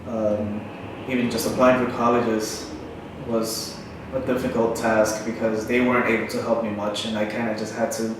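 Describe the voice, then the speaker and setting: A man in his twenties, a recent college graduate, speaking in a flat, matter-of-fact way in an interview. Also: fairly low pitch, moderate pace. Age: 20s. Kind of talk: casual interview. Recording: very echoey, distant, noisy.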